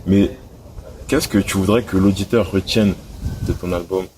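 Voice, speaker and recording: Calm male host, low voice, short replies, heard from afar over noise. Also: normal speed. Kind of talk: interview hosting. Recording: distant mic with clear background noise.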